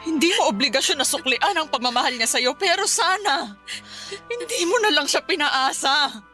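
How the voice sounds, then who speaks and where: A woman's voice: high-pitched, lively intonation, normal speed, soft background music. An actress playing a grieving middle-aged mother in a Tagalog radio drama, tearful and accusing as she lashes out. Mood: anguished accusing. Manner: tearful, accusing, shouting outbursts. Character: grieving, furious middle-aged mother blaming a young woman.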